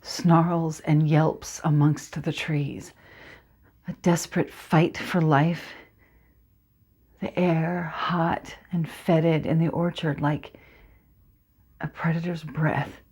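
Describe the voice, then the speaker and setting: A woman performing a monologue as a teenage Kurdish girl, telling her story in a hushed, poetic way. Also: low-pitched, flat delivery, takes it slowly, pauses often, breathy edge, pitched below the performer's own voice, full character voice. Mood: tense hush. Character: teenage Kurdish girl, haunted former sniper.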